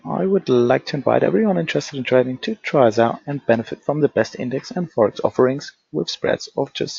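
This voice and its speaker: A man's voice: mid-range voice, creaky, a little mellow, slightly rough, no echo. A man moderating a webinar from an office, politely reading a scripted introduction and risk disclaimer. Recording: dull lo-fi webinar audio.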